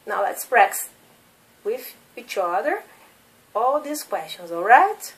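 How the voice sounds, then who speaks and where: A woman's voice: medium pitch, very animated, slow, recorded from a distance, poor audio. A woman in her thirties from Brazil with a Brazilian Portuguese accent, teaching English as a second language and drilling simple questions for learners to repeat. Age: 30s. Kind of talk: slow classroom drilling of questions.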